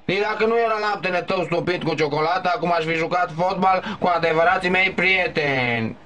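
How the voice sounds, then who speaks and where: A man's voice: high-pitched, bright, forceful tone. A man voicing a cocky, wisecracking boy who also narrates the cartoon, dubbed in Romanian. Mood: snappy. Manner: sarcastic, quick retorts.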